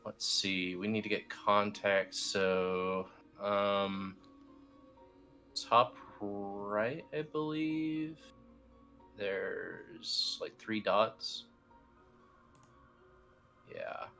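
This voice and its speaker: Low-voiced man walking someone through software, music behind. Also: extremely slow, creaky, pauses often. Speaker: team lead explaining software steps. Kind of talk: casual step-by-step screen tutorial.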